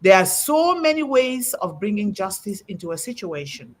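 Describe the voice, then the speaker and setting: A woman's voice: mid-range voice, very animated, moderate pace. A middle-aged woman hosting a panel talk, delivering an emphatic, preaching-style message and quoting scripture with rising emphasis. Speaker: host.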